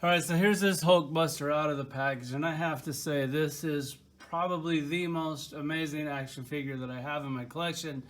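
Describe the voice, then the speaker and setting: A male reviewer with a noticeably high-pitched voice. He talks casually and informally, like a hobbyist giving his opinion on a product. His delivery rambles along and is frankly opinionated. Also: steady tone, moderate pace, hardly any pauses, over music. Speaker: toy reviewer.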